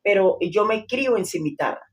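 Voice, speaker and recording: A woman's voice: mid-range voice, animated, brisk. A woman pastor giving an interview testimony, earnest with hesitant fillers. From Colombia. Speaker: guest pastor.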